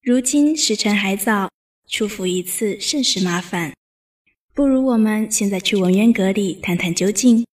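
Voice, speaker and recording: A woman playing a gentle, bookish young noblewoman in a Chinese period audio drama, poised, with literary phrasing. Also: mid-range voice, measured pace, close to an everyday voice. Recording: music behind voice.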